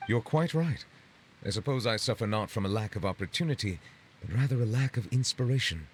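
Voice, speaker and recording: An actor playing a courteous, solemn dignitary in a video game, speaking in formal, measured, ornate phrases. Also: male voice, lowish voice, lively intonation, very noisy, natural voice. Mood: earnest, reflective. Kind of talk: video game dialogue acting.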